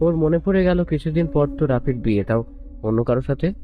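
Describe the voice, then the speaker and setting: A man's voice: a little high, expressive, fairly quick, muffled recording. Man narrating a story over music. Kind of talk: scripted romance story narration. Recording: music bed under the voice. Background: prominent instrumental music.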